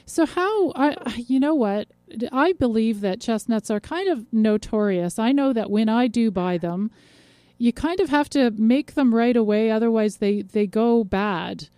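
A woman's voice: a little high, measured pace, mellow, clear, resonant tone, no echo. A woman hosting a radio show, introducing topics and interviewing a guest.

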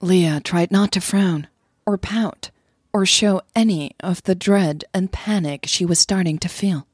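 A woman with a soft, breathy voice, speaking as a podcast host and storyteller. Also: on the low side, measured pace, no room sound, studio quality. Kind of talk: scripted story narration and listener thanks.

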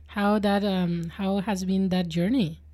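A woman's voice: mid-range voice, steady tone, unhurried, dark tone, dry recording. Young woman hosting a podcast interview. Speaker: podcast host and producer.

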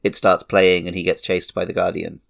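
Male podcast co-host, low and creaky, chatting casually like a keen, detail-minded fan. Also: completely flat delivery, normal speed. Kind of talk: casual film and game discussion.

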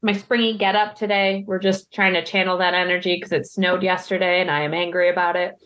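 A woman's voice: lowish voice, flat delivery, a little fast, fairly bright tone. A woman co-hosting a podcast over a video call, playful and joking in casual chat. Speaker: podcast co-host.

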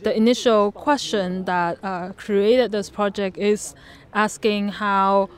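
A woman presenting her project at a panel discussion, speaking thoughtfully with searching pauses and fillers. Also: mid-range voice, steady tone. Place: museum venue.

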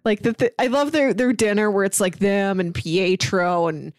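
A woman speaks as a podcast co-host in relaxed, informal conversation. Her pitch is middling for a woman, and the recording is dry with very little room sound. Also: moderate pace, no echo. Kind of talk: casual comic book discussion.

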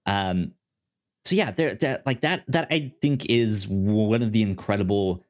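A man talks casually about film as a podcast co-host. His voice sits fairly low and moves slowly. He often stammers and restarts, repeating words while he searches for the next thought.

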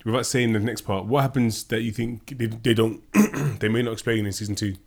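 A man co-hosting a podcast, teasing and prodding his co-host in casual chat. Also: on the low side. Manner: fast-talking, teasing, prodding.

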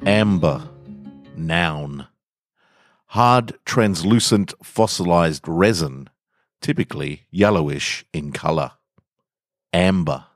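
Very deep, slow male storyteller. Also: no echo, faint music behind. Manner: measured, deliberate, with weighty pauses. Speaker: storyteller reading short fiction on a podcast. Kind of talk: dramatic scripted narration of short stories.

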